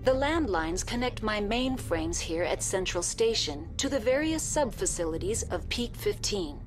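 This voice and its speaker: A polite, impassive artificial intelligence voiced by a woman for a video game. She speaks in formal, clinical, measured phrases at a mid-range pitch, with faint music behind her. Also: close to an everyday voice. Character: polite, impassive female computer intelligence of a research facility. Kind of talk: scripted video game dialogue.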